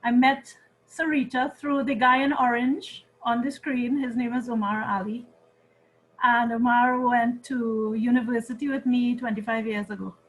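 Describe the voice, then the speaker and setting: A woman with a Trinidadian accent hosting an interview series, introducing her guest in measured, welcoming words. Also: fairly high pitch, flat delivery, rough recording. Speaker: interview host. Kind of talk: hosted interview.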